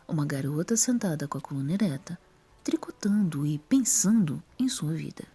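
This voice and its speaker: Female story narrator, Brazilian accent, mellow tone, breathy and husky delivery for scripted fiction. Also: sits low, expressive, moderate pace.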